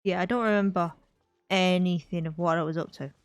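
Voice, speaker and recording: A woman's voice: lowish voice, steady tone, fairly quick, no echo. A woman giving casual live gameplay commentary as a streamer, musing aloud and trailing off. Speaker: game streamer.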